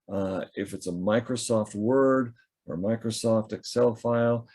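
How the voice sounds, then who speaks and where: A man teaching staff a software tool over a video call, in a relaxed, chatty way with many fillers as he thinks aloud. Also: on the low side. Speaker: instructor. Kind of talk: screen-share software training. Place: video call from a home or office. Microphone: computer or headset mic on a video call.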